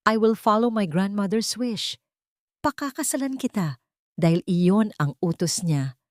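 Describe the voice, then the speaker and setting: A woman's voice: medium pitch, animated, unhurried, dry recording, close to an everyday voice. A woman narrator plays a cold, wealthy man in an audio drama. He speaks with bitter sarcasm and contempt, in a dry, close sound.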